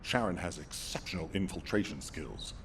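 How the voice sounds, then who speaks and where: An actor playing a resolute military commander in a game cutscene, speaking firmly and deliberately with authority. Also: male voice, low voice, a crowd in the background. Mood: businesslike, firm. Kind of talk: scripted game cutscene acting.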